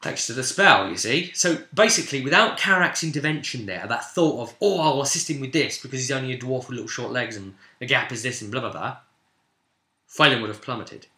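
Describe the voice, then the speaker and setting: A British man casually recounting a tabletop game as its game master, in an animated storytelling way. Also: mid-range voice, a little fast. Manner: animated, acts out players' remarks in quotes. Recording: roomy, echoing room sound.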